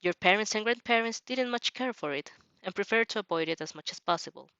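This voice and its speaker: A woman's voice: medium pitch, lively intonation, a little fast, bright, forceful tone, clear, resonant tone, no echo, lo-fi sound. A woman narrating a story in an informal way, stumbling now and then and slipping into casual joking asides. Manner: informal, stumbles over words and jokes about it. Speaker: host reading a fan fiction aloud with reactions.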